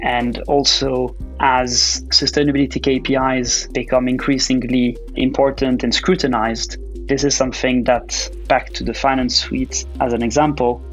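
A man from Switzerland, a company founder giving measured, explanatory answers in a podcast interview. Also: mid-range voice, level tone, a bit bright, highs cut off, soft background music. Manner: measured, explanatory, with frequent "uh" pauses. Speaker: guest, startup founder and CEO.

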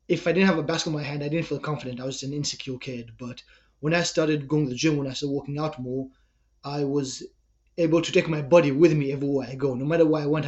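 A man's voice: on the high side, darkish tone, lo-fi sound. Israeli man, earnest and explanatory. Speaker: personal trainer guest. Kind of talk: podcast interview answers.

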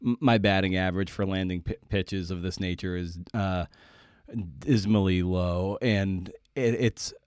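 A man hosting a podcast interview, speaking conversationally and haltingly as he rephrases and builds toward his point. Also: sits low, fairly even intonation, a little mellow, smooth, dry recording, clean studio sound.

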